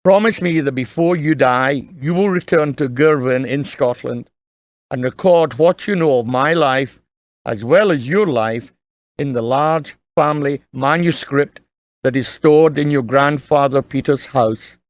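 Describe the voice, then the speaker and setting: A man playing a kindly, earnest dying father in an audio drama, gently and solemnly advising his young son. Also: mid-range voice, a little slow, no echo, close to an everyday voice.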